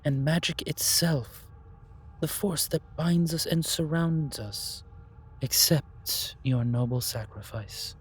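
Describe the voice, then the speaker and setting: Man acting a slow, solemn keeper of magic. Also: on the high side, slight background noise. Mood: solemn, ceremonious. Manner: slow, formal, ceremonious. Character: calm, solemn male keeper of magic, wise and otherworldly. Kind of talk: fantasy audio roleplay acting.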